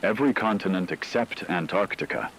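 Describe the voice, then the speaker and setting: A man playing a grave, analytical news anchor in an apocalypse radio drama, speaking in a measured, formal way. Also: low-pitched, fairly bright tone, a little hiss or hum, low-quality recording. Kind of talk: scripted radio news commentary.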